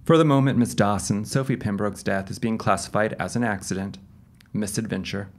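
An actor playing a patient, skeptical police detective in an audio drama, with an official, measured manner. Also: male voice, on the low side, close to an everyday voice. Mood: measured, probing.

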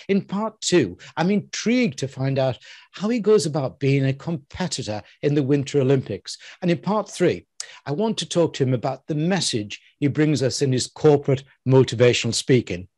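A man's voice: medium pitch, big pitch swings, normal speed, darkish tone, no echo, clean studio sound. An older man hosting an interview, talking playfully with theatrical emphasis on a headset mic. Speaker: host. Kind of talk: interview intro and questions.

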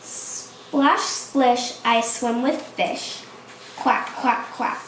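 Woman reading as a high-pitched little storybook duckling. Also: very slow, far from the mic. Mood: happy, playful. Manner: simple sing-song rhyming storybook delivery. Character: young duckling, playful, childlike, fond of rain. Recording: echoey room, faint noise.

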